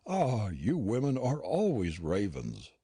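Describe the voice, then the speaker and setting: A man voicing a curt, disdainful gentleman dentist who talks down to his housekeeper. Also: fairly low pitch, huge pitch swings, slow pace, slightly rough, dry recording, studio quality, heavily acted. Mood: curt scornful. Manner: curt and scornful.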